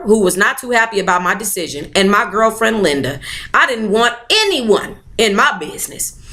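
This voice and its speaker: Bright, high-voiced woman reading aloud. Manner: animated, playful, colloquial commentary. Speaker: book club host reading a memoir aloud. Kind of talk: read-aloud narration with casual, sassy asides.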